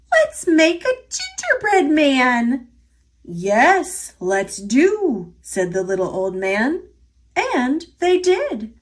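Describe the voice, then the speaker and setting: An American woman reading a picture book aloud to young children in an expressive, deliberate storytelling style. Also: a little high.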